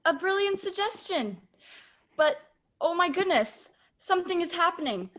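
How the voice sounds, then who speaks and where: A woman's voice: very high-pitched, lively intonation, moderate pace, a bit bright. A young woman in her late teens playing an earnest, formal radio news reporter in a comic radio play. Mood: dramatic. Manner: formal, dramatic announcing. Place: home room, on a video call.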